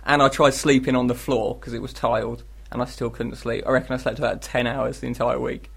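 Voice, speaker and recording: A man's voice: medium pitch, little pitch movement, a little fast, gravelly, a bit bright, breathy edge. An English man co-hosting a radio show with casual, comedic, grumbling banter in a studio. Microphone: studio microphone.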